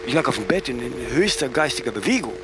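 An actor playing an imperious, self-mythologizing older fashion designer in a German radio play, speaking haughtily in aphorisms and tagging sentences with "ne?". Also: male voice, mid-range voice, very animated, fast talker, creaky, noisy background. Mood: grand, reminiscing.

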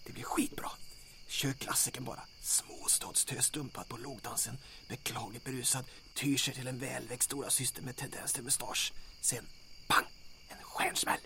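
A man's voice: mid-range voice, fairly even intonation, vocal fry. A man playing a nervous, reluctant soldier sidekick in a comic audio drama, wry and protesting. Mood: wry, relieved. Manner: wry, protesting, often hissed whisper or yelled.

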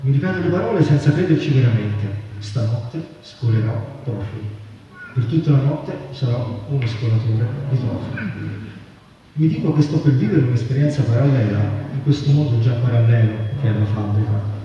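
A man's voice: medium pitch, lively intonation, normal speed, music in the background. A man giving a literary reading aloud at a public event. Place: large echoey venue at a public festival. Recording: dull, distant, very echoey, background noise.

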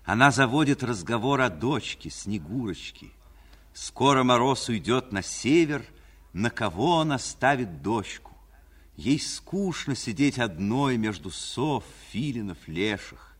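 A man narrating a scripted fairy tale for 1940s radio in a measured storyteller manner. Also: mid-range voice, very animated, fairly bright tone, breathy edge. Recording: 1949 radio recording.

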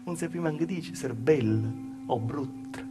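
Man playing a high-voiced Calabrian village woman, wistful. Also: close to an everyday voice. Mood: plain, wistful. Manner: confiding, halting, repetitive storytelling. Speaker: stage actor and monologuist. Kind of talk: intimate theatrical monologue in dialect. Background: live music.

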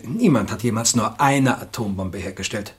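An actor playing a knowledgeable weapons expert in a German radio play, speaking in an assured, matter-of-fact, instructive way. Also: male voice, on the low side, normal speed, lightly acted.

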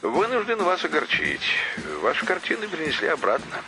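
A man's voice: a little high, very animated, fairly quick, over music, low-quality recording. An actor playing an apologetic man who breaks bad news formally and regretfully in a radio play.

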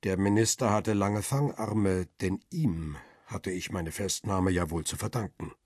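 A middle-aged photographer telling his own story in a radio crime drama. His voice is lowish and husky, with a very dry studio sound. The delivery is measured, wry and reflective. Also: darkish tone, dry recording. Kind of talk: first-person radio drama narration.

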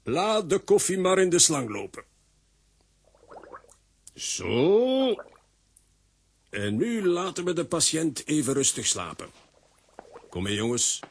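A man's voice: fairly high pitch, big pitch swings, takes it slowly, long pauses, husky, heavily acted. A man playing a bossy, earnest makeshift surgeon in a children's audio drama, giving clipped commands and muttering as he works. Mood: grave, busy.

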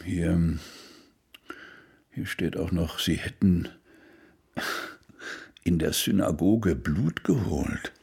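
A male actor in a German radio crime drama playing a sardonic police investigator who questions pointedly, with a gravelly, husky voice. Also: deep voice, very slow, pauses often, a little mellow, exaggerated voice. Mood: stern, sardonic.

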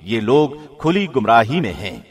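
A man reading a scripture translation in a scripted, measured radio-presenter style. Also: medium pitch, very animated, breathy edge. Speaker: translation reader, radio host.

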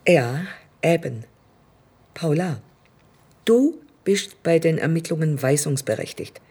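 A woman's voice: low voice, lively intonation, slow pace, dark tone, slightly rough, heavily acted. A woman acting in a radio play as a menacing, condescending state premier who speaks with controlling courtesy. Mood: cold pressure.

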